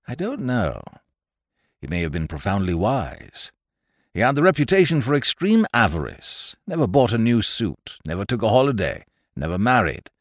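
An audiobook character: a man playing an elderly English solicitor with an English accent. He is mild, courtly and old-fashioned, repeating phrases with relish. The pitch rises and falls freely, in a dry, close sound. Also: no room sound. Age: older.

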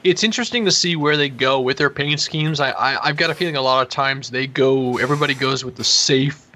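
A man's voice: medium pitch, a little fast, bright, forceful tone. A man in a casual podcast chat, thinking aloud with stammering restarts.

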